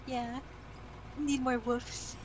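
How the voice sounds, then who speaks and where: A woman's voice: a little high, flat delivery, extremely slow, background noise. A woman in her early thirties chatting casually and agreeably as a co-player on a gaming stream. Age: early 30s. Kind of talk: casual chat. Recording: music playing behind the voice.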